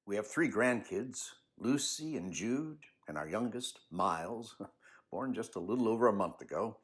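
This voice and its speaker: An older man with a husky voice, speaking expressively and without hurry like a children's author telling a story. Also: on the low side, lively intonation, moderate pace. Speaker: children's book author reading aloud. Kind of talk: personal commentary and storybook reading.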